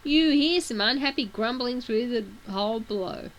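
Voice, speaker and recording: A woman's voice: fairly high pitch, animated, normal speed, a little hiss or hum. A woman with a sore throat reading a webcomic aloud, with casual asides between the read text. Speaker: reader of a webcomic.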